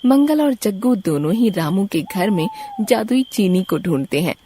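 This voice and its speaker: A woman's voice: mid-range voice, sing-song, normal speed, dark tone, a little hiss or hum. A woman narrator reading a scripted moral story in a storytelling style.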